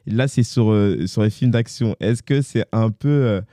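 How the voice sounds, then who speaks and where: Guy doing an interview, really muffled-warm dark voice, no room sound, kinda halting long questions, agrees a lot. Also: on the low side, no echo, studio quality. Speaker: interviewer, host. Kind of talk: conversational interview.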